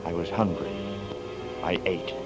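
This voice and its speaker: An actor playing a weary, confused man on the run in a 1940s radio drama, talking haltingly and narrating his own story. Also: low-pitched. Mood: reflective. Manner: hesitant, halting, bewildered. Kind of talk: 1940s radio acting with first-person narration. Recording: dull old radio recording with music behind.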